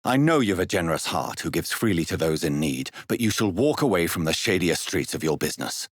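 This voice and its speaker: Deep, husky British gentleman vampire doctor. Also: very animated, no room sound, clean studio sound, exaggerated voice. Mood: stern, menacing. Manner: formal, measured, precise diction. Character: grave, articulate gentleman doctor turned vampire. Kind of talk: dramatic video game voice acting.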